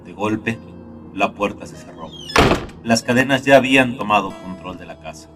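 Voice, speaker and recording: Low-voiced man narrating dark horror. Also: animated, moderate pace, recorded from a distance. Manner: dark, gloomy, dramatic. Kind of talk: scripted horror story narration. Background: soft guitar music.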